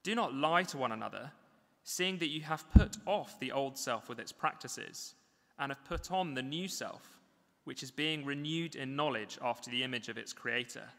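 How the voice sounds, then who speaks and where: A man reading a Bible passage aloud in church in a measured, deliberate way. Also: medium pitch, pushed and bright, long reverb tail. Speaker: scripture reader.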